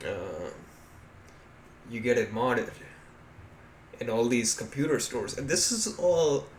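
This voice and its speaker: A man talks as a podcast co-host in a roomy, echoing space with faint noise behind him. He explains things casually and bluntly, swearing freely, in a medium-pitched voice. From Pakistan. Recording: echoey room with background noise.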